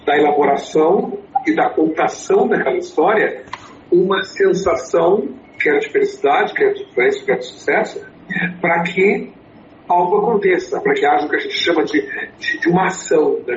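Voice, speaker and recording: 60s guy, english native, fairly high voice, thinks out loud w lots of fillers, echoey dull sound. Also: moderate pace. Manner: thoughtful, with many fillers and restarts. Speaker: storytelling consultant and speaker. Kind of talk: interview answers. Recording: echoey, dull, slightly noisy.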